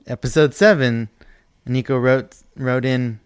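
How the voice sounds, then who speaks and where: A man's voice: medium pitch, fairly even intonation, unhurried, clean tone, no echo. A man with a very dry, close sound. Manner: chatty and jokey, with frequent fillers and laughs. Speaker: podcast co-host reading listener mail. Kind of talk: casual banter.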